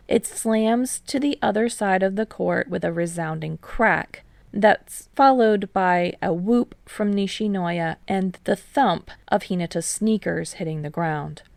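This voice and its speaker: Creaky, lower-pitched woman reading fiction. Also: a little mellow, no room sound. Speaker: amateur audiobook narrator. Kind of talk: scripted fiction narration.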